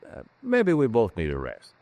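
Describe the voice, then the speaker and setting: An actor playing a skeptical, wry scientist in a 1940s American radio drama, talking in a conversational, teasing way. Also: male voice, mid-range voice, wildly swooping pitch, normal speed, darkish tone, dry recording. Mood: skeptical, concerned. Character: skeptical, wry scientist boss, adult man. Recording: enhanced 1940s radio recording.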